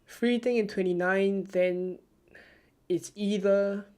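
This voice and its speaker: A streamer from Singapore, answering viewer questions in a live stream with a soft, low-key, almost ASMR-like delivery. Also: steady tone, slow, smooth. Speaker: fantasy football streamer.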